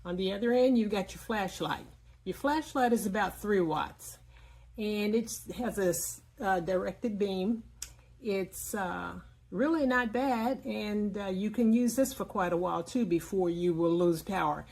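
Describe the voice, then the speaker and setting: A woman giving a casual, explanatory product demonstration in plain words, often pausing mid-sentence. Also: mid-range voice, creaky, darkish tone. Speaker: product reviewer.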